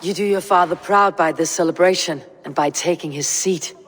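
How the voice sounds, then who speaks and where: Viking warrior friend: measured, commanding, loyal; raised male pitch, reverberant space. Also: fairly bright tone, breathy edge. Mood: encouraging. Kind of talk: dramatic video game acting.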